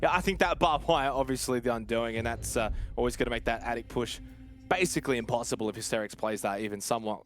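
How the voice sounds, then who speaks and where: A man's voice: medium pitch, fast, a bit bright, faint music behind. A man giving colour commentary on a live esports match in a conversational, reactive way.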